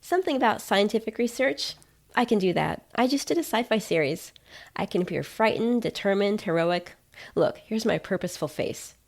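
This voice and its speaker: A woman's voice: mid-range voice, animated, normal speed. A woman voicing an eager, bold out-of-work fictional actress who keeps promoting herself.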